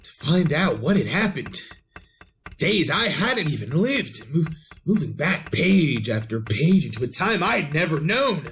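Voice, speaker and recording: A tormented man on the edge of madness in an old-time radio horror play. He speaks slowly and haltingly, stammering and repeating himself, with pitch that swings wildly. Clear noise sits behind the voice. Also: fairly bright tone. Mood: haunted, brooding. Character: tormented man narrating his own descent into madness.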